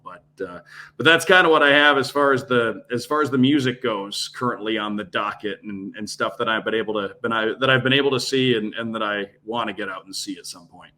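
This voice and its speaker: A man, a radio play-by-play announcer, chatting casually as a podcast guest in a relaxed, rambling way with many restarts. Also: lowish voice.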